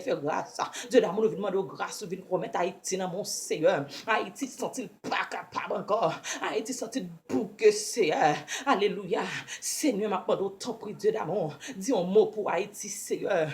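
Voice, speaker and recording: A woman's voice: medium pitch, a little mellow. Haitian woman praying in repeated pleas. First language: Haitian Creole. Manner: repetitive pleading with frequent invocations. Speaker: prayer leader. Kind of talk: scripture reading and fervent spoken prayer.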